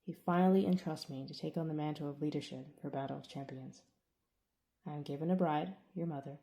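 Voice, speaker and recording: A woman reads a stern older man who rules over a brutal arena, speaking in a measured, quietly commanding way. The voice sits low and dark, mellow rather than sharp. It sounds like a character read in an audiobook. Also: poor audio. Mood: cold, reflective. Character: stern older male alien arena master, cold and controlling.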